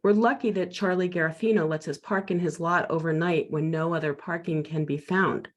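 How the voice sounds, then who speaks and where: A middle-aged man reading a prepared public comment at a council meeting in a measured, concerned way. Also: on the high side, fairly even intonation. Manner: measured, concerned, read from notes. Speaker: resident giving public comment.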